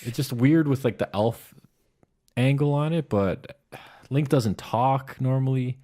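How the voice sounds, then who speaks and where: A man's voice: medium pitch, a little slow, warm tone. A man co-hosting a podcast, speaking in informal, casual conversation. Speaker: podcast co-host. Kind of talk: casual chat discussing video game movies.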